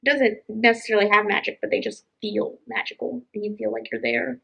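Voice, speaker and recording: A woman's voice: a little high, fairly even intonation, a little fast, vocal fry, fairly bright tone, breathy edge, highs cut off. A woman co-hosting a casual library book chat, talking in an enthusiastic, effusive way. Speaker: library podcast co-host.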